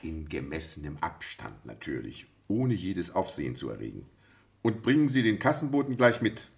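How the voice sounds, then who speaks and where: Brusque police prefect, radio play. Lowish, highly animated, ironic, authoritative. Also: male voice, normal speed, creaky, heavily acted. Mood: dryly ironic. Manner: commanding, probing, dryly ironic. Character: brusque, sardonic male police prefect leading an interrogation. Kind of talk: German radio play acting.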